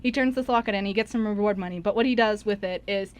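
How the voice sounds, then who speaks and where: A young woman in a casual, excited chat, talking in an informal, giggly, gushing way as a member of a radio show. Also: mid-range voice, fast.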